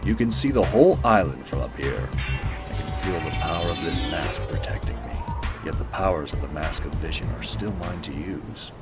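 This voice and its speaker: A man playing a cold, brooding warrior hero who narrates and speaks tersely in an animated fantasy. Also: extremely low pitch, moderate pace, roomy sound. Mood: guarded. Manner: terse, stern, deadpan. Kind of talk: dramatic animated narration and dialogue. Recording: music behind the voice.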